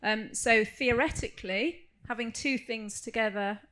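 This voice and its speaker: A woman speaking as an academic expert on a panel, giving a measured explanation with careful qualifications. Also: a little high, a bit bright, a little hiss or hum. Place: live agricultural conference panel session.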